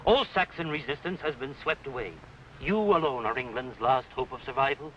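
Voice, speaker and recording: An actor playing a loyal, anxious medieval Saxon messenger in declamatory, archaic 1950s TV drama style. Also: male voice, mid-range voice, moderate pace, fairly bright tone, far from the mic, natural voice, low-quality recording. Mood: foreboding. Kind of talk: 1950s TV historical drama acting. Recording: old film soundtrack, off mic, faint noise.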